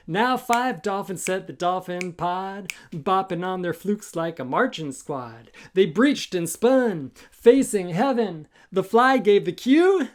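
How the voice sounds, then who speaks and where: A man who is a children's author reading a rhyming story aloud with an expressive, chanting, sing-song delivery. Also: high-pitched. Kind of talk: expressive musical storytime narration in rhyme.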